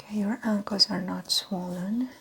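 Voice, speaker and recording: A woman playing a doctor in a soft-spoken ASMR exam, mostly whispering in a gentle, methodical, clinical manner. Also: medium pitch, steady tone, normal speed, creaky, slight background noise.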